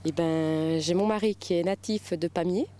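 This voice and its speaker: A woman from the Saint-Étienne region, talking in a halting way with many fillers in an interview. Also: lowish voice, warm tone, slight background noise. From Saint-Étienne region, France. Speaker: interviewed resident.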